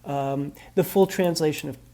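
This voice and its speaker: A man interviewed as an expert, explaining at length with many pauses and restarts. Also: fairly high pitch, dark tone. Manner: hesitant, many ums and restarts. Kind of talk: recorded interview.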